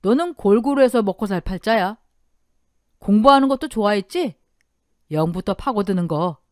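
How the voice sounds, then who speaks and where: A woman voicing a blunt, gruff older male restaurant owner who scolds loudly but kindly, in a dry recording. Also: medium pitch, lively intonation, normal speed, dry recording, close to an everyday voice. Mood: scolding.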